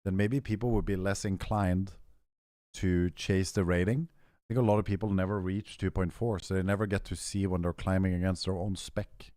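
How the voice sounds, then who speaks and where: A young man with a deep, low voice talks to his stream viewers in a loose, rambling way. His throat is rough and hoarse, and he keeps clearing it. The sound is dry and close. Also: steady tone, normal speed, mellow, no echo. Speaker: game streamer. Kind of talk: casual stream chat, answering chat.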